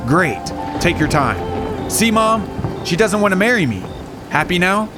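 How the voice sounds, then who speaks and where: Cool, pragmatic young bachelor. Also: male voice, sits high, big pitch swings, unhurried, music in the background. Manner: matter-of-fact. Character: cool, pragmatic bachelor, guarded but protective. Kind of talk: audio drama acting.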